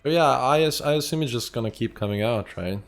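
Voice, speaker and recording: A man's voice: medium pitch, quick pace. A young man from Lithuania with a Lithuanian accent, chatting casually and rambling with lots of fillers while streaming a game. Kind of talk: casual live-stream chat.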